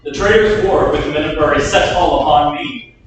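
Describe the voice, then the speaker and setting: A man's voice: a little high, fairly quick, over music, close to an everyday voice. A man reading the part of a proud, defiant duke in a staged Shakespeare reading. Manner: defiant, declamatory. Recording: echoey hall, distant mic.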